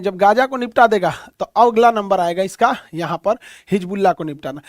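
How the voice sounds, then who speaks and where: A man's voice: high-pitched, no echo, studio quality. A man from India with a Bihari Hindi accent explains things like an informal teacher, speaking colloquially and throwing out rhetorical questions. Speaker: teacher explaining current affairs.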